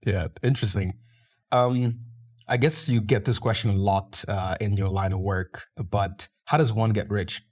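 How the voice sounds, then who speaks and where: A man's voice: on the low side, fairly even intonation, normal speed, darkish tone. A man hosting a podcast, asking a guest curious questions. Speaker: podcast host. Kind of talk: podcast interview.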